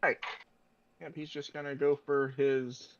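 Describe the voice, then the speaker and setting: A man's voice: a little high, flat delivery, measured pace, lo-fi sound, slight background noise. A man playing a tabletop game, talking casually and tentatively as he works things out aloud.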